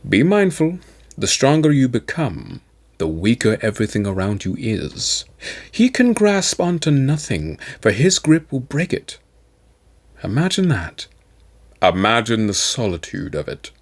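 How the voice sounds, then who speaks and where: A man voicing a grave, weary captain general in a sci-fi audiobook, solemn and deliberate. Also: mid-range voice, big pitch swings. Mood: brooding, solemn. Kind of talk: dramatic sci-fi audiobook dialogue.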